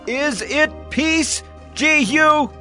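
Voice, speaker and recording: Man acting an ancient king, super high n forceful, swinging pitch, dramatic audio drama. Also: very slow, faint music behind, heavily acted. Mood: alarmed. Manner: dramatic, royal commands. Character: alarmed male king of ancient Israel.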